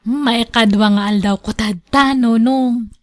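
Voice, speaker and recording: A woman's voice: fairly high pitch, steady tone, measured pace, close to an everyday voice. A woman playing an emotional young daughter and wife in a radio drama, with a northern Philippine accent. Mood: shy, guarded.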